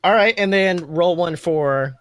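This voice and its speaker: A man's voice: high voice, a little slow, noisy background. A young man casually narrating a tabletop game as game master, playful, exclamatory and sweary. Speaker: game master and podcast host.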